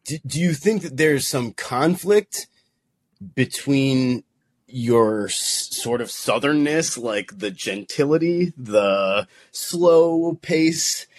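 A man's voice: medium pitch, animated, slow pace, no echo. A man co-hosting a casual chat, wry and self-deprecating.

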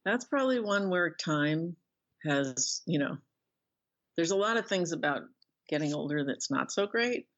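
A middle-aged woman talks in a casual interview over slightly dull, lo-fi audio. She wanders through her thoughts haltingly, often restarting a sentence partway. Her delivery is conversational, like an author discussing her work. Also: low-pitched, lively intonation. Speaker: author and illustrator being interviewed.

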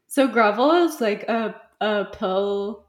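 A woman's voice: mid-range voice, takes it slowly. A young woman from Canada co-hosting a casual podcast chat, just woken up, talking in a breezy, giggly way full of "like". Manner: breezy, giggly, filler-heavy, "like" every few words. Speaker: podcast co-host. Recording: roomy echo.

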